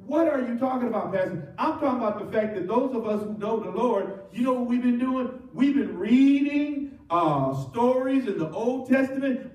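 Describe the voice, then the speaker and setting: A middle-aged man preaching a sermon as pastor, animated and full of call-and-response. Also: very high voice. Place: church sanctuary with cross wall. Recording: roomy church from far off.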